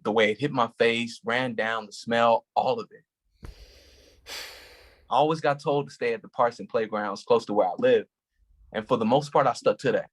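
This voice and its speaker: Brief: husky, mid-pitched actor playing a gentle cop, measured and steady. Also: male voice, natural voice. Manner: calm, measured, gently reflective storytelling. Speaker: actor in a staged play reading. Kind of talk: staged play reading.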